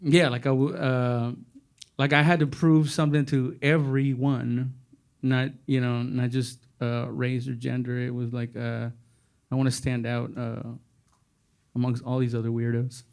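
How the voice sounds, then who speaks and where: A man with a Mexican accent, a comedian on a stage panel, talking casually in a rambling, halting, self-mocking way. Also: mid-range voice, flat delivery, vocal fry, darkish tone, clear, resonant tone. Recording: stage panel with audience laughter.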